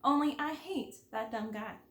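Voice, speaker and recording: An outspoken little kindergarten girl from a storybook, acted out by a woman reader. She is blunt, grumpy and emphatic, with a high pitch, and the room echoes around her. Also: normal speed, a bit bright. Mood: annoyed.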